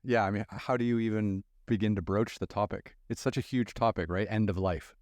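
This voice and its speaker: A man's voice: fairly low pitch, fairly even intonation, fairly quick, a little mellow, no room sound. A man hosting a podcast interview in a studio, talking in a loose, casual way and swearing freely. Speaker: podcast host. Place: recording studio. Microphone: close studio mic.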